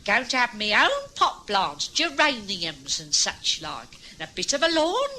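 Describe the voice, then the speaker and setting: An actress playing a frail, fussy working-class London wife with a London accent, flustered and chatty, in a 1950s radio drama. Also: female voice, medium pitch, big pitch swings, unhurried, pushed and bright, exaggerated voice. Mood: homely.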